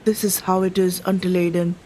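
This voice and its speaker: A woman's voice: on the low side, flat delivery, a little fast, mellow, a little hiss or hum, close to an everyday voice, low-quality recording. A woman playing an awed, anxious Indian traveller of 1906, telling her account dramatically and formally in a radio play. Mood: alarmed, awed.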